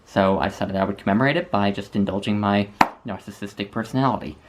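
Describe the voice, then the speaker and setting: A man's voice: on the low side, fast, background noise. A man from the United States, a book video maker, talking through viewer questions in a casual, thoughtful way with frequent small restarts. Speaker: booktuber answering viewer questions. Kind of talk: casual Q&A monologue.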